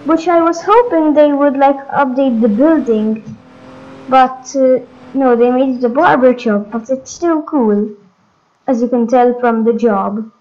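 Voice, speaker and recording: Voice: high voice, measured pace, a little mellow. A gaming host giving a casual walkthrough of game updates, hesitant and thinking aloud, on a dull lo-fi microphone.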